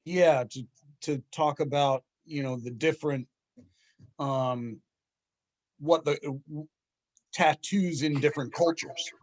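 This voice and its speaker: Male podcast host, slightly high voice, rambling storyteller. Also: fairly even intonation, slow pace, pauses often. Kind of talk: casual group chat, telling a joke.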